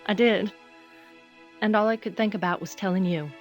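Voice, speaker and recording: An earnest young woman as acted by a female performer in a soap-style audio drama, emotional and quietly defiant. Her voice sits at a medium pitch, over faint music. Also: steady tone, normal speed, close to an everyday voice. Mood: tender. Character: pregnant grown daughter, earnest, pleading, quietly defiant.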